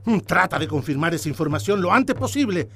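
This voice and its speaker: Gravelly, expressive newspaper boss. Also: male voice, on the high side, brisk, soft background music. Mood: grave, concerned. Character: grave newspaper chief receiving terrible news. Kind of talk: radio drama acting.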